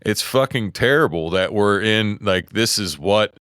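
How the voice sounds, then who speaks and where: A man's voice: lowish voice, animated, measured pace, fairly bright tone, smooth, no echo, studio quality. A middle-aged man with a West Virginia accent, co-hosting a podcast in heated, profane casual talk, often stopping and restarting his sentences. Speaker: podcast co-host. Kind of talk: casual opinionated chat.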